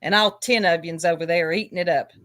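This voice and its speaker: A woman's voice: fairly low pitch, steady tone, brisk, a bit bright, no echo. A woman hosting a casual panel chat, mocking and taunting someone with frequent laughter. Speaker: host.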